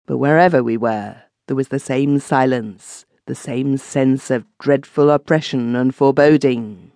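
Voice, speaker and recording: A man reads a classic ghost story as an audiobook narrator, in a measured, literary storytelling manner. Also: medium pitch, steady tone, darkish tone, no echo, studio quality.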